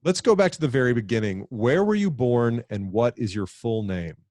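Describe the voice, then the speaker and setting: A man's voice: medium pitch, lively intonation, normal speed, a little mellow, no room sound. A man with a Southern US accent hosting a podcast interview, asking plain questions in a self-deprecating way. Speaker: podcast host.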